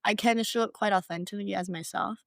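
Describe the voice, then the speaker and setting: A woman's voice: medium pitch, fairly even intonation, fast, creaky, no echo, studio quality. A young woman in her twenties from Hong Kong, talking reflectively as a podcast guest, often saying "like" and "you know". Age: 20s. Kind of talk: podcast interview.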